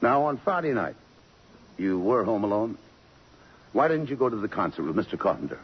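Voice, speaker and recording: An actor playing a blunt, tough police lieutenant in a 1950s radio drama, questioning people in terse, dry slang. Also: male voice, fairly low pitch, very animated, brisk, slightly rough, full character voice. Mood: probing. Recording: muffled, hissy 1950s radio recording.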